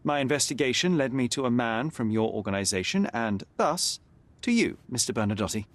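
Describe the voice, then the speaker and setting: A man voicing a confident young male detective in a video game, calm and precise. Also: medium pitch, brisk, lightly acted. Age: young adult. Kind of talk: video game cutscene dialogue.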